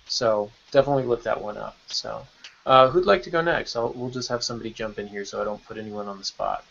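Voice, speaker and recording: A man hosts a casual podcast with an enthusiastic, encouraging manner. His voice sits at an ordinary male pitch, and the sound is a bit dull and lo-fi. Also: fast, gravelly, a bit bright, a little hiss or hum. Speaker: podcast host.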